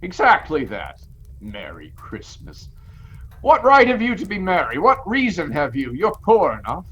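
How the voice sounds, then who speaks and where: A man's voice: on the high side, huge pitch swings, a little slow, a bit bright, slightly rough, highs cut off, full character voice. An actor playing an irritable, miserly old businessman in a radio play, barking with scorn and dismissing everyone. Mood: scornful.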